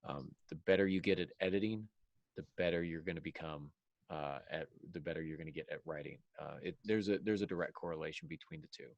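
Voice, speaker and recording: A man hosting a casual podcast discussion, giving writing advice in halting phrases and repeating points for emphasis. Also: extremely low pitch, no echo, lo-fi sound. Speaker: podcast host and fiction writer.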